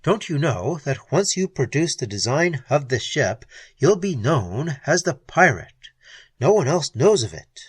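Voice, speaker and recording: A reader voicing an earnest man who speaks softly and sadly, pleading with a woman, in an audiobook. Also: fairly high pitch, big pitch swings, measured pace, husky, no room sound, voice pushed down, full character voice. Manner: soft, pleading, sad. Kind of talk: audiobook dialogue.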